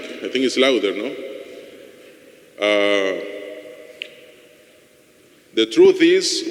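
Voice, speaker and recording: A man, a parish priest whose first language is Spanish, speaking accented, halting English to his congregation in a church. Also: a little high. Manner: halting non-native English with "no?" tags. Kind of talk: homily and informal remarks to a congregation. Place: inside a church. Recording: echoey church with long reverb.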